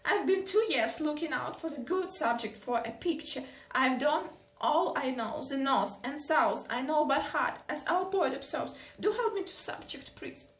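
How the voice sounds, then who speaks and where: An earnest, slightly plaintive young noblewoman, as a woman reader puts her on, speaking in a high voice with roomy echo around it. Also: expressive, unhurried, a bit bright, recorded from a distance.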